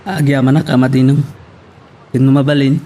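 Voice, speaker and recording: A man playing a polite, dutiful young driver with a soft manner in an Ilocano audio drama. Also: mid-range voice, level tone, moderate pace, close to an everyday voice. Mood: concerned. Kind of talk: Ilocano radio-style drama acting.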